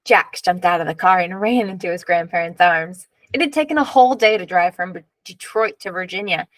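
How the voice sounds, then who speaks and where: A woman reading a children's picture book aloud in measured, expressive narration, drawing out key words. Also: lowish voice, no room sound.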